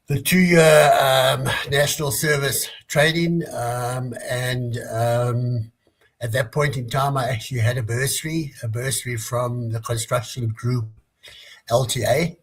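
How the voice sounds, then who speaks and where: A man's voice: medium pitch, fairly even intonation, measured pace. A man in his sixties from South Africa, answering interview questions with many hesitations and restarts over a remote call. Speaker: guest, business leader.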